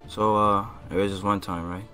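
A man's voice: on the low side, little pitch movement, normal speed, loud music behind the voice. A young man chatting jokingly over a game, teasing and often repeating himself in a stammer. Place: online game voice chat.

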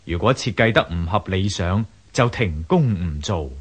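A man acting a humble, earnest woodcarver of ancient China in a radio drama, husky, measured and deferential, with a Hong Kong Cantonese accent. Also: deep voice, animated.

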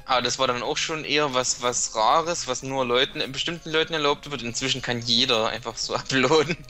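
A man's voice: medium pitch, fairly even intonation, brisk, pushed and bright, faint music behind. A man chatting as a guest on a retro game let's play, explaining tricks and often restarting his sentences. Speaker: guest co-commentator. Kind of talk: casual gaming chat.

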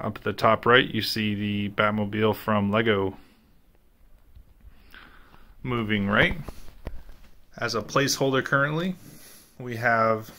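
A man's voice: mid-range voice, fairly bright tone. A man giving a casual voice-over tour of his statue collection room, plain and matter-of-fact with frequent filler words.

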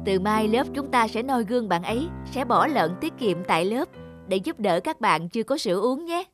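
A woman's voice: on the high side, expressive, moderate pace, lightly acted. Woman playing a kind cartoon schoolteacher over music. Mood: proud, encouraging. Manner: formal, encouraging. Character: kind, appreciative female schoolteacher. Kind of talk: cartoon voice acting. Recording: music bed under the voice.

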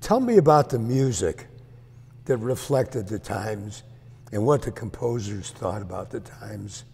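An older man interviewing a guest, asking short questions and prompting with quick replies. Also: fairly low pitch, animated, creaky, mellow, husky, long reverb tail. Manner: brief prompts and backchannels. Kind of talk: conversational interview. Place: empty hall with a stage.

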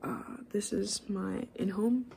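A woman in her twenties doing soft-spoken, rambling ASMR, unscripted and self-deprecating, sometimes slipping towards a whisper. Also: mid-range voice, steady tone, takes it slowly, gravelly. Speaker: ASMR video creator. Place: small living room at home, indoors. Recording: faint room noise on home recording.

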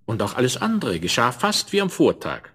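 A man narrating a scripted fairy tale in a measured, expressive storyteller's manner. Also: medium pitch, slightly rough.

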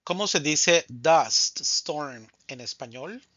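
Slow, deliberate male Spanish teacher. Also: on the high side, lively intonation, pushed and bright, husky, no room sound, muffled recording. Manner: slow, deliberate, says each answer twice. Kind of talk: scripted vocabulary drill.

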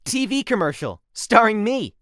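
A voice actor playing a cocky, bumbling young rookie spy in an animated web series, boastful and easily flustered. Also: mid-range voice, moderate pace, no echo, studio quality. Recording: music behind the voice.

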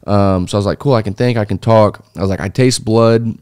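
Guest: deep-voiced man in his thirties, rambling casual storytelling, dry close studio mic. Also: brisk, darkish tone, clear, resonant tone, no echo. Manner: loose, rambling telling with many fillers and restarts. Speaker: interview guest, former foreign volunteer fighter. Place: small dark podcast studio. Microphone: studio mic on boom arm.

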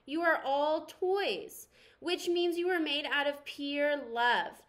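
A woman's voice: sits high, slow pace, fairly bright tone. A woman voicing an anxious, earnest toy spork from a storybook, speaking emphatically and pleadingly. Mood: earnest, encouraging.

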